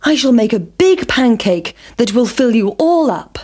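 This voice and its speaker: A woman's voice: a little high, sing-song, measured pace, darkish tone. A woman voicing a farmer's wife, a practical mother, in a children's storybook, explaining things in a matter-of-fact way. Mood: cheerful resolve.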